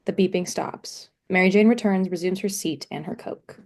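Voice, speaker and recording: A woman's voice: fairly low pitch, steady tone, normal speed, a little mellow. A woman reading stage directions at a play table read in a matter-of-fact, scripted narration style.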